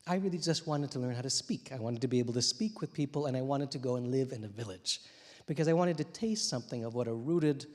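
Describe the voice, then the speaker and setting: A man in his forties, a little hoarse from talking a lot, speaks on a theater stage into a handheld mic. His telling is reflective and gently humorous, full of small pauses and fillers, and his middle-pitched voice trails off into the long reverb of the hall. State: slightly hoarse from many interviews. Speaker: translator and poet. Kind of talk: storytelling talk on stage. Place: theater stage, dark room.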